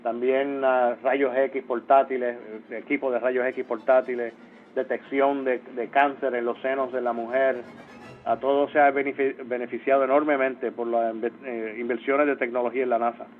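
Brief: Puerto Rican man, interview guest, halting and full of restarts, heard over a thin phone line. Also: medium pitch, flat delivery, soft background music. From Puerto Rico. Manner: halting, many restarts and fillers. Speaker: space agency mission coordinator, interview guest.

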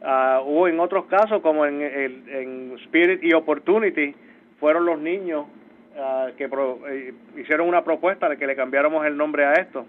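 A Puerto Rican man, a space agency mission coordinator, explaining things in a halting way with many restarts. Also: on the high side. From Puerto Rico. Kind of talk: phone interview. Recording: tinny phone line.

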